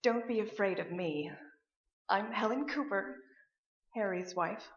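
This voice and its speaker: A woman's voice: mid-range voice, measured pace, natural voice. An actress playing a firm, reproachful wife and worried mother in a staged radio play, with a pointed way of talking. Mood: concerned. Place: live stage performance in a room. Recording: dull, echoey stage recording.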